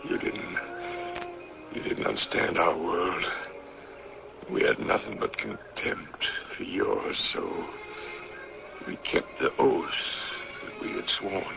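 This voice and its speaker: An actor playing a defiant, dying old leader in a radio drama, speaking haltingly and solemnly in a gravelly voice. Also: male voice, deep voice, very animated, noisy background, exaggerated voice. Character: old captain, leader of a secluded long-lived community, dying defiantly. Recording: muffled old radio recording, music behind.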